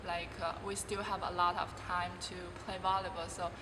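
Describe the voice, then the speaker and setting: A woman's voice: lowish voice, little pitch movement. Chinese woman in her twenties, distant and echoey. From China. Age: 20s. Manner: halting non-native English with many restarts. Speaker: college volleyball player. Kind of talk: interview answers. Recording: distant voice in a reverberant room with clear background noise.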